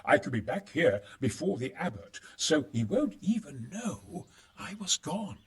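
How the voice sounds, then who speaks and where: An actor playing a crafty, conspiratorial schemer in a video game cutscene, with a sly, hedging, expressive manner. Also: male voice, on the high side, measured pace. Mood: sly, persuasive. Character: crafty, conspiratorial male schemer sheltering in a monastery.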